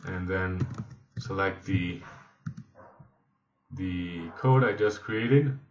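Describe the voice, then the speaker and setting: Low-voiced man narrating a tutorial, echoey room. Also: extremely slow. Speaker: software trainer. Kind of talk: instructional screen-demo narration. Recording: echoey room, slightly dull sound.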